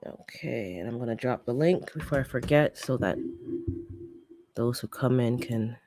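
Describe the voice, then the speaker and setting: A woman's voice: very deep voice, normal speed, warm tone, a little hiss or hum. A woman hosting a casual gardening livestream, a bit stuffy and congested, chatting and laughing as she tells stories and greets viewers. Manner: chatty storyteller, laughs often, greets chat by name. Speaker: gardening channel host.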